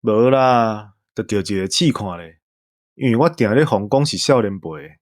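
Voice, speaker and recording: A man's voice: lowish voice, moderate pace, no echo, clean studio sound. A man in his forties with a Taiwanese accent, co-hosting in scripted casual banter. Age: 40s. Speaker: co-host.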